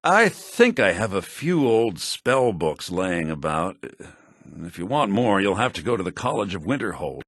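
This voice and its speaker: A male actor playing a brusque, self-assured townsman in a fantasy video game, with a husky voice and a theatrical, deliberate delivery. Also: medium pitch, pushed and bright, dry recording, studio quality, exaggerated voice. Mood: matter-of-fact. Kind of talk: fantasy role-playing game character dialogue.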